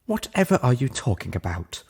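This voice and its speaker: A man's voice: mid-range voice, big pitch swings, fairly quick, gravelly, a little mellow, breathy edge, voice pushed up, full character voice. Man putting on a prim, bossy lady pony. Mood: sardonic. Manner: refined, scolding, precise wording. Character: prim, bossy, sardonic female unicorn pony medic.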